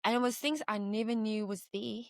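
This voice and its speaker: A woman's voice: medium pitch, brisk, gravelly, dry recording, clean studio sound. A middle-aged woman from South Africa, a guest telling her personal story with easy laughter and quoted asides.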